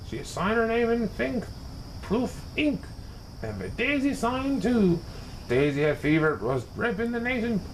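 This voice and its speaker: A man giving a theatrical, expressive narration of a rhyming children's story. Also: sits high, slight background noise, low-quality recording. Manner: expressive, dramatic. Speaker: storybook reader.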